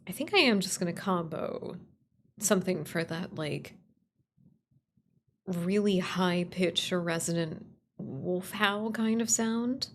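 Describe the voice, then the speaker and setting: A woman's voice: lowish voice, takes it slowly, slight background noise. A woman streamer and voice actor thinking aloud as she writes, casually muttering to herself, trailing off and repeating words. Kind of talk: casual work-along stream, thinking aloud while writing. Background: computer keyboard typing and clicks.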